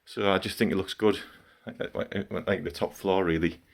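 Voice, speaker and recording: Croaky middle-aged man with a cold. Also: deep voice, steady tone, normal speed. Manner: chatty, self-commenting as he works. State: hoarse from a cold. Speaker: gaming channel host. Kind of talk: casual vlog walkthrough.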